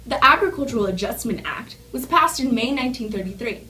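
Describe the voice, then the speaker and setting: A woman's voice: a little high, lively intonation, fairly quick, pushed and bright. Woman reading news, slightly distant from mic. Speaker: news anchor in an amateur newscast. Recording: distant mic, faint background noise.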